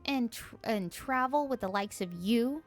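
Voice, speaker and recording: A woman's voice: a little high, lively intonation, unhurried, faint music behind, voice pushed up. A woman voicing a haughty, boastful dragon. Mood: scornful. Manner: arrogant, boastful, threatening. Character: haughty, proud dragon who lost its powers. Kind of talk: voicing video game dialogue.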